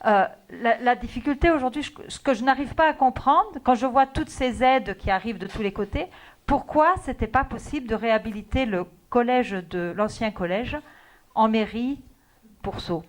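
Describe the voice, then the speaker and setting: A woman's voice: fairly high pitch, moderate pace. A woman in the audience at a public meeting asking the mayor a question, polite and hesitant as she searches for her words. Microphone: handheld microphone.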